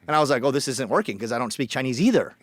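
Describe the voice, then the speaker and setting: Colombian-born guy telling his story, blunt and jokey, does voices for people, dry mic, middle pitch. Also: animated, very fast, no room sound. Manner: blunt, joking, swings his voice acting out quoted people. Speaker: podcast guest. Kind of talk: casual storytelling.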